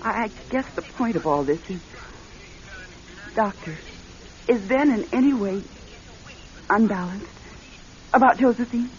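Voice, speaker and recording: An American woman playing an earnest, composed bride-to-be in a radio drama, polite and gently persistent. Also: a little high, expressive, a little slow, pauses often. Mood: anxious, insistent. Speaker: actress in a radio play. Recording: dull lo-fi radio recording.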